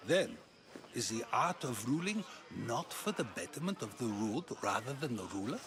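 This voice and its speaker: An actor playing a playful ancient Greek philosopher in a video game, teasing and arguing through questions. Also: male voice, medium pitch, big pitch swings, normal speed, fairly bright tone. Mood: rhetorical, questioning. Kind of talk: dramatic video game dialogue.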